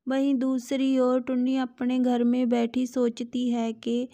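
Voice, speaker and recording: A woman's voice: high voice, completely flat delivery, measured pace, mellow, dry recording. A woman narrating a scripted children's story.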